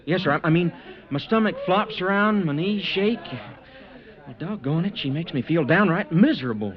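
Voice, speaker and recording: An actor playing a bashful young cowhand in an old radio western, with a folksy, stammering, blustering manner and a rural Western American accent. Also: male voice, high voice, lively intonation, moderate pace. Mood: nervous, sheepish. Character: bashful, flustered young cowhand, reluctant new groom. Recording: muffled vintage radio recording, music behind.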